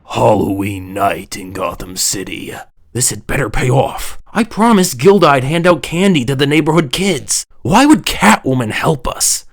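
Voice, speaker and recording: A man acting in a comic book dub as grim, grave crime-fighting men, with a gravelly voice and clipped delivery. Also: mid-range voice, very animated, normal speed. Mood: brooding. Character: several grim crime-fighting men: police captain, district attorney, vigilante.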